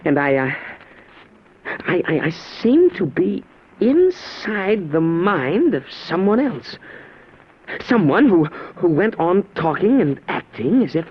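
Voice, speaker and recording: Earnest young man, halting and awed, higher-pitched, vintage radio drama, muffled sound. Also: sing-song, husky, full character voice. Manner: halting, stammering, breathless delivery. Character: earnest, awed young man, time-travel experiment subject. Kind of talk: 1950s radio drama acting. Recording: dull vintage radio broadcast recording.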